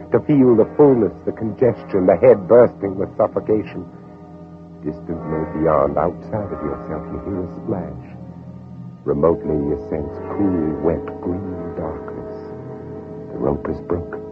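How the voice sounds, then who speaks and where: An actor playing a condemned Confederate planter in a radio drama, intense and hushed, living through a desperate, anguished escape. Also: male voice, low-pitched, moderate pace, close to an everyday voice. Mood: anguished intensity. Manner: intense, hushed, dramatic, breathy whispered passages. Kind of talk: 1950s radio drama acting. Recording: muffled old radio recording, dramatic music.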